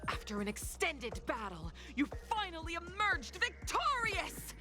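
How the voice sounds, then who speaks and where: A woman's voice: extremely high pitch, very animated, measured pace, over music, exaggerated voice. A woman playing a playful, grandiose storyteller who narrates a game adventure theatrically. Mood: dramatic, wistful. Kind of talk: dramatic game voice acting.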